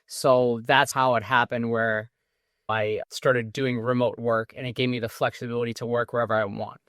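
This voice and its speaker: A man's voice: mid-range voice, little pitch movement, moderate pace, a bit bright, no echo, studio quality. A man in his forties with a Massachusetts accent, a podcast guest chatting casually and rambling through his stories with many restarts. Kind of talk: casual interview talk.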